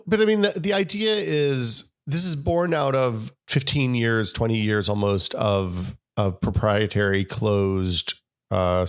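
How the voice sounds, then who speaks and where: A man's voice: on the low side, creaky. Scratchy-voiced man with a cold. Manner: rambling, many restarts and fillers. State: has a summer cold. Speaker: podcast co-host. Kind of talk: casual tech podcast chat.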